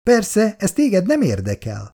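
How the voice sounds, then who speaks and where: Man doing fretful old wife, higher voice, lively nagging, mellow tone, for an audiobook. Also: exaggerated voice. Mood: reproachful. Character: nagging elderly wife, worried, reproachful.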